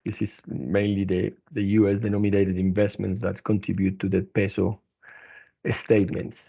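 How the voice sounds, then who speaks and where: A man presenting company financial results as an executive on an earnings conference call, speaking haltingly with frequent restarts. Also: deep voice, level tone, vocal fry, husky. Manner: halting, hesitant delivery with repeated restarts. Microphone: telephone conference line. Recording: narrow, tinny phone-line conference call.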